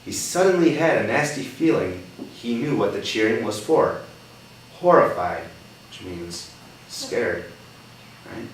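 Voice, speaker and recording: A man reading a picture book aloud to children, pausing to ask them questions in a patient, coaxing way and checking in with "right?". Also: on the low side, sing-song. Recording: echoey room, distant mic, background noise.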